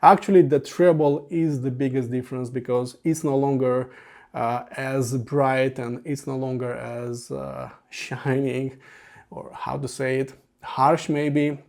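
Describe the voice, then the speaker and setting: A man's voice: mid-range voice, steady tone, unhurried, vocal fry, darkish tone. A man from Romania with a Romanian accent, a native Romanian speaker, reviewing headphones in an earnest, methodical voice-over with frequent uh fillers. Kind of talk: scripted product review voice-over.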